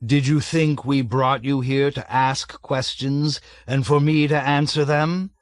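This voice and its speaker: A man voicing a bloated, blustering army colonel who roars and hectors in a raised, loud voice. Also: mid-range voice, steady tone, slow pace, dry recording, close to an everyday voice. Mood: bellowing, bullying. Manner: roaring, hectoring, sarcastic. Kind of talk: audiobook character voice.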